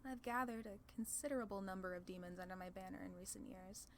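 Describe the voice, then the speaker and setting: Girl doing a smug demon queen for asmr roleplay, teasing and bossy, mid pitch, bit of background noise. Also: quick pace, lightly acted. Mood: imperious. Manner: condescending, playful, theatrical. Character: haughty, teasing female demon lord, amused and sly.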